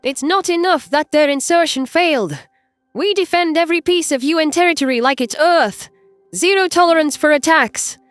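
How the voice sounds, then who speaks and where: A man voicing a stern female starship captain who barks forceful orders in a sci-fi audio story. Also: very high-pitched, normal speed, no echo, faint music behind, voice pushed up. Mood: stern, commanding.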